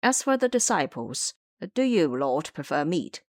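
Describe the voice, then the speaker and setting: A woman's voice: low-pitched, very animated, unhurried, dry recording, clean studio sound. A woman voicing a timid, fawning male abbot, deferential and formal, in an audio drama. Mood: humble, polite.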